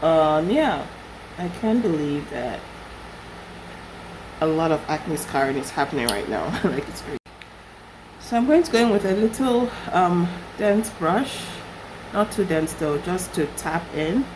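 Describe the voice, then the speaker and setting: A young woman recovering from a flu, her voice husky, chatting casually through a makeup tutorial. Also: on the low side, big pitch swings, measured pace, long pauses, noisy background. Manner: chatty, rambling with fillers. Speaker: beauty vlogger.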